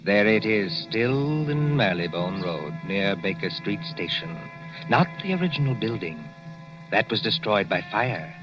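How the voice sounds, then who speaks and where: A man narrating a 1940s radio suspense drama in a measured, dramatic way. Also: lowish voice, sing-song. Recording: dull old 1940s radio broadcast with music.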